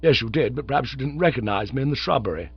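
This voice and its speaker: A man's voice: mid-range voice, expressive, fast, gravelly, breathy edge, voice pushed up. A man voicing a cheerful young man who talks to his friend in a chummy, teasing way. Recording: dull lo-fi dry recording.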